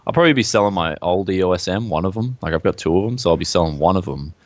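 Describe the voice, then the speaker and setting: A man, co-hosting a casual tech chat in a relaxed, rambling way. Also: rapid-fire, no room sound. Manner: relaxed and rambling, pitch jumps up when excited. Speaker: co-host. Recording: dull, dry lo-fi sound.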